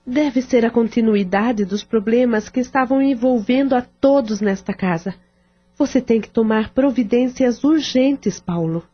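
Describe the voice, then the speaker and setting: An actress voicing a caring, practical sister-in-law who speaks gently with concern, in a radio drama. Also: female voice, a little high, unhurried. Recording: dull lo-fi audio with faint music.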